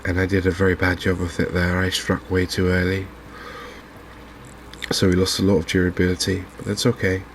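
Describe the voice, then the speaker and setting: A man murmurs calm game commentary in a soft ASMR style. His low voice barely moves in pitch and often settles into vocal fry. He keeps an understated, unhurried manner, with faint noise and ambience behind him. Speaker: gaming video host.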